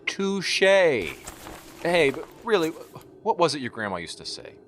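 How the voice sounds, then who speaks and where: A man's voice: on the high side, very animated, takes it slowly, a bit bright, soft background music, exaggerated voice. A man playing a teasing, skeptical boyfriend in an audio drama, with wry, coaxing, easygoing delivery. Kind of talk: audio drama acting, naturalistic dialogue.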